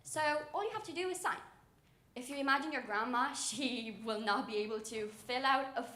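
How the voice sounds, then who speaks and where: A young woman from Romania, a native Romanian speaker, giving a live startup pitch into a microphone as personal storytelling. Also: a little fast, sharp tone. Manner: personal storytelling with small chuckles. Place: indoor event room with an audience. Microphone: event microphone. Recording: echoey room, live event microphone.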